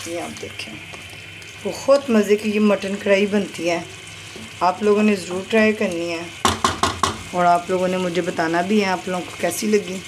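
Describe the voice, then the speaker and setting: A woman's voice: lowish voice, fairly even intonation, normal speed, long pauses. A woman vlogger walking through a home cooking recipe step by step in casual chat, pointing things out as she goes. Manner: chatty, repeats "ye dekhiye" while showing each step. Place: home kitchen. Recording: kitchen sizzle and noise behind.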